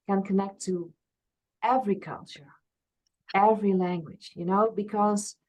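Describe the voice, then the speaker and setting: A woman's voice: medium pitch, poor audio. A woman from Friesland with a Dutch accent, a past life regression therapist talking earnestly and openly as an interview guest. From Friesland, Netherlands. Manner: earnest, open, laughs at herself. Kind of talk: reflective conversational interview.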